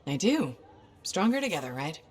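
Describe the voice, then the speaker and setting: A low voiced woman pilot for a game, calm, wry, diplomatic, has your back. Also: moderate pace. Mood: earnest, encouraging. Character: composed, wry female freelancer pilot, loyal and diplomatic. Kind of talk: video game cutscene acting.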